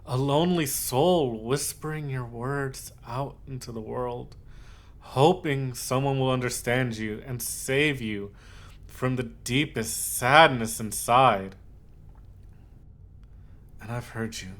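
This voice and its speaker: Guy doing a lovesick possessive yandere, asmr roleplay, medium pitch, stammery pet names, goes from hushed to pushy. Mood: wistful, intimate. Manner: halting, cooing endearments, swings from hushed to insistent. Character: obsessive, lovesick yandere voice actor, clingy and possessive. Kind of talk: scripted ASMR roleplay monologue.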